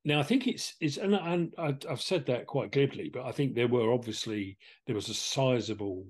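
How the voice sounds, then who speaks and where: Measured Englishman in discussion. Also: medium pitch, darkish tone. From England. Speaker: podcast co-host. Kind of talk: conversational discussion.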